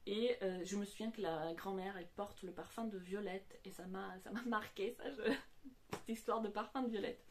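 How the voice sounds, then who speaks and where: A woman's voice: mid-range voice, slight background noise. A woman of about forty, whose first language is not French, talking casually to camera about books she has read, hesitating often and restarting her sentences. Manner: hesitant, many fillers and restarts. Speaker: book vlogger. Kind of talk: casual book talk to camera.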